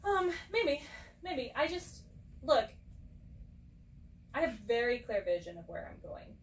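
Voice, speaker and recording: A woman's voice: high-pitched, sing-song. Podcast co-host: earnest woman in her thirties, heard from off-mic in a roomy space with faint hiss. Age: 30s. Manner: reflective, earnest, quick to laugh. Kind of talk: casual chat. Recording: roomy and distant with faint background noise.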